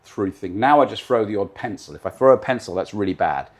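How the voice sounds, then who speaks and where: A man in his fifties, originally from Britain, speaks in a fairly low register as a guest in an interview. He talks with confidence and emphasis, often lining up his points in repeated, list-like runs. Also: lively intonation, fast talker. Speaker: guest, live TV director. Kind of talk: interview answers.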